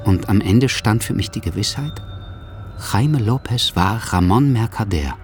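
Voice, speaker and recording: A man's voice: on the low side, expressive, a little slow, slight background noise. A man giving scripted, literary narration in a radio drama.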